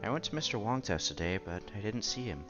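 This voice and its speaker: A man's voice: fairly low pitch, sing-song, fast, dull audio. A man reading a visual novel aloud as narrator and lead, now and then stumbling over words and rereading them. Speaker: visual novel reader, channel host. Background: background music.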